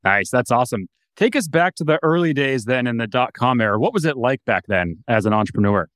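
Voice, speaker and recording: A man's voice: medium pitch, lively intonation, a bit bright, dry recording, clean studio sound. A man hosting a podcast, reading an upbeat intro and then asking casual interview questions. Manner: upbeat, brisk host delivery. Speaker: podcast host.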